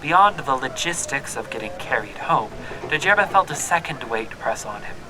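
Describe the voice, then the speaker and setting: An actor playing a reflective young traveler, narrating his journey in a measured, literary way for a scripted audio drama. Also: medium pitch, lively intonation, background noise, close to an everyday voice.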